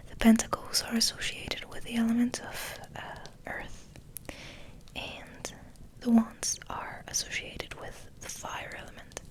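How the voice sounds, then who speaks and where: Female ASMR host: breathy whisper, gentle and musing, flat intonation, unhurried pacing. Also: fairly high pitch, rough recording. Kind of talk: soft whispered ASMR ramble.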